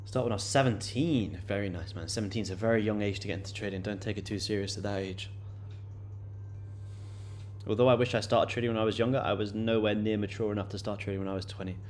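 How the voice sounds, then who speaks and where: Man, late 20s, lowish voice, casual live-stream chat, loose delivery full of fillers. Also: steady tone, fast, soft background music. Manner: loose and chatty, many fillers and repeated words. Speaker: day-trading livestream host.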